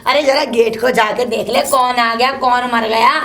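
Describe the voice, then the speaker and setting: A woman playing a shrill, cursing old mother-in-law who keeps scolding her daughter-in-law. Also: steady tone, normal speed, a bit bright. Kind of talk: comic one-woman sketch.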